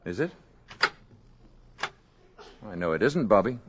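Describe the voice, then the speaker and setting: An actor playing a courteous male space visitor posing as an ordinary man, soft-spoken and assured, in 1950s radio drama style. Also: lowish voice, sing-song, slow, dull audio, noisy background. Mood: calm.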